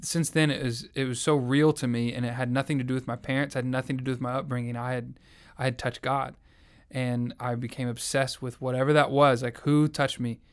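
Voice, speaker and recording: An earnest young man recounts his personal testimony in a reflective, conversational way. His voice is smooth and clear. He keeps his pitch fairly flat and even as he speaks. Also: fairly quick, hardly any pauses. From American South. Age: young adult. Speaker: interviewee sharing his faith story.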